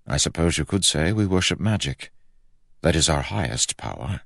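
A man voicing a calm, skeptical magician in an audiobook, with a dry, reasoned manner. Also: extremely low pitch, normal speed, vocal fry, husky, no room sound, heavily acted. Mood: matter-of-fact. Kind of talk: audiobook character acting.

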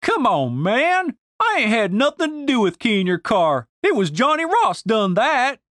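A narrator voicing a brash, foul-mouthed young country woman with a blunt, excitable, folksy drawl, as audiobook character dialogue. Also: mid-range voice, a little slow, no room sound, clean studio sound, pitched above the performer's own voice. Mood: sheepish, defiant.

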